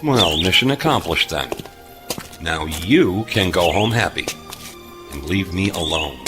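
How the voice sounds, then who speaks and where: An actor playing a gruff, weary former starship captain turned repairman in a sci-fi audio drama, dry, curt and sardonic. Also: deep voice, expressive, measured pace, over music. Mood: sardonic, guarded. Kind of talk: science fiction audio drama acting.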